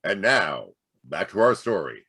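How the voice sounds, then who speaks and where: A man announcing a radio mystery in a dramatic vintage style, with a raspy voice. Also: deep voice, sing-song, a little slow, sharp tone, dry recording, clean studio sound.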